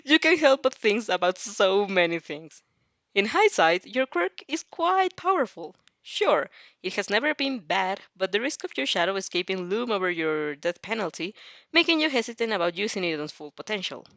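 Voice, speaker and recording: Animated woman reading stories aloud. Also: mid-range voice, normal speed, no echo. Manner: expressive, giggly, informal. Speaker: narrator reading fan fiction and reacting. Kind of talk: story narration with casual reactions.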